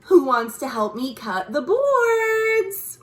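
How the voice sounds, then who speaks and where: A plucky little girl with a firm, self-reliant streak, as a woman reads her aloud. Her voice sits high and swings up and down as she stands her ground. It is a storybook voice. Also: big pitch swings, slow pace, full character voice. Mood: calling out. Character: determined little girl builder.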